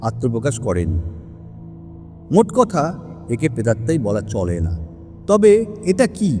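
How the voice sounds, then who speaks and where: An actor playing a learned, methodical male detective in an audio story, laying out his reasoning on a supernatural case in a deliberate, emphatic way. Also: on the high side, warm tone. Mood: reasoning, questioning. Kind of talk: dramatized audio story monologue. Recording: long hall reverb, music underneath.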